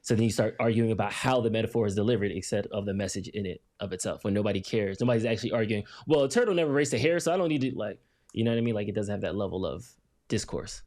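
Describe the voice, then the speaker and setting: A man's voice: lowish voice, animated, racing, a little mellow. A man co-hosting a live podcast, explaining his views in a loose, rambling way with lots of fillers. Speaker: co-host reading the chat. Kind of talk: casual live-stream talk.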